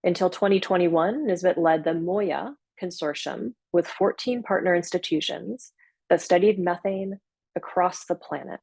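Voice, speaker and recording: Measured woman hosting a webinar. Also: on the low side, gravelly, darkish tone, breathy edge, no echo. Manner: measured, with frequent uh fillers and self-corrections. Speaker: webinar host and moderator. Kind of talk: formal webinar introduction. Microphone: computer mic on a video call.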